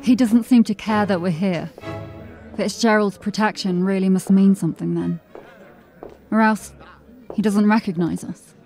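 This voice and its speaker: Female watch constable, played by an actress: mellow, dry, observant, fantasy radio-play dialogue. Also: medium pitch, normal speed, long pauses, soft background music, natural voice. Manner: deadpan, understated. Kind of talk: fantasy audio drama acting.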